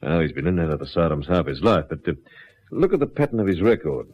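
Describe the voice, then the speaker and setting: A knowing local policeman from a radio play. His voice is deep and his manner wary and laconic. The sound is dull and lo-fi. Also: big pitch swings, breathy edge, heavily acted. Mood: wary, certain. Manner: measured, laconic. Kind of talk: radio drama acting.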